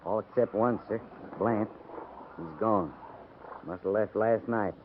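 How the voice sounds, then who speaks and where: Plain-spoken cavalry sergeant, muffled radio. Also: male voice, lowish voice, takes it slowly. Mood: matter-of-fact. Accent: American. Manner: folksy, laconic, dropped syllables. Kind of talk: 1950s radio drama acting. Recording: muffled, noisy 1950s radio recording.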